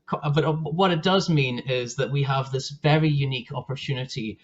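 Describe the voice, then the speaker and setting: A man's voice: mid-range voice, fairly quick. A young man with a northeast Scottish accent giving a chatty presentation on a video call, full of fillers and restarts. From northeast Scotland. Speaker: guest speaker. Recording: dull lo-fi call audio.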